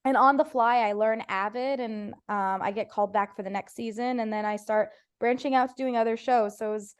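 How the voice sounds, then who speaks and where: A woman's voice: fairly high pitch, fairly even intonation, brisk, smooth. A woman comedian from Ohio, whose first language was Farsi, chatting casually as a podcast guest, telling stories with playful voiced quotes. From United States (Ohio).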